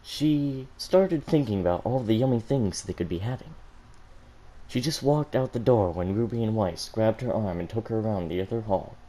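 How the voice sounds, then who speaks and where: A man's voice: on the low side, moderate pace, warm tone, poor audio. A man reading a story aloud as an amateur, stumbling over words and muttering corrections to himself. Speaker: fan fiction reader on a gaming channel.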